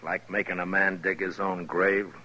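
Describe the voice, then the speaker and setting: An American man playing a steady, sardonic Old West marshal in a dry, laconic 1950s radio acting style. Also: low voice, normal speed. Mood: dry, challenging. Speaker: lead actor and narrator. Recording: muffled 1950s radio recording, music behind.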